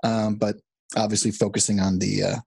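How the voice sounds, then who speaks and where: A man's voice: lowish voice, flat delivery, brisk, vocal fry, a little mellow, husky, dry recording. A man in his late thirties co-hosting a podcast, talking hesitantly with many ums and uhs. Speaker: podcast co-host. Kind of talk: casual podcast chat.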